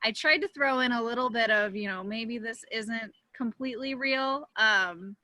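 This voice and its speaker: Very bright, crisp young woman, dry sound. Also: on the high side, normal speed, dry recording. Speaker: actress on a panel. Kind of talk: casual panel chat. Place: indoors, online video seminar.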